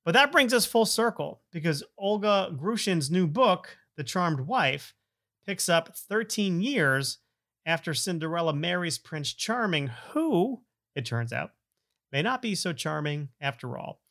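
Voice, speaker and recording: A man's voice: fairly high pitch, sing-song, a little slow, fairly bright tone. A middle-aged man hosting a podcast, talking informally and emphatically, often repeating phrases for effect. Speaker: podcast host. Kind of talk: conversational podcast intro and interview.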